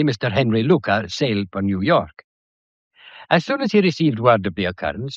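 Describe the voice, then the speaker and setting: An actor playing a shrewd, methodical police detective in a British period mystery drama, courteous and lecturing, with a faintly theatrical delivery. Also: male voice, medium pitch, no echo, lo-fi sound. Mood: measured, lecturing. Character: shrewd, methodical male police detective laying out a murder case.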